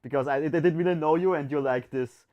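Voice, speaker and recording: A man's voice: a little high, quick pace, dull audio. Man, livestream co-host; friendly, agreeable, chatty retelling. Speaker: podcast co-host. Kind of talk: casual livestream chat.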